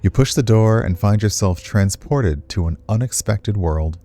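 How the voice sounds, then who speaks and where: A man casually commenting and reading aloud as he streams a visual novel, chatty and expressive. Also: on the low side, a little slow, soft background music. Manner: chatty, expressive, reacts with asides to what is read. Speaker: streamer, let's player.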